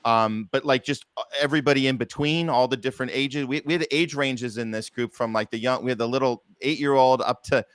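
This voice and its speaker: A man's voice: mid-range voice, fairly even intonation, a little fast, hardly any pauses, a bit bright, smooth, dry recording. Man, podcast host, dry close sound, casual, appreciative, rambling delivery. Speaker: podcast host, theater producer. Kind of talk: casual interview chat.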